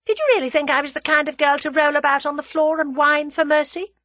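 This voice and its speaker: A woman's voice: sits high, quick pace, sharp tone, no room sound, pitched above the performer's own voice. A woman voicing a spirited, cunning, plucky young woman with a theatrical delivery in an audiobook story. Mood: scornful triumph. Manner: theatrical, dramatic.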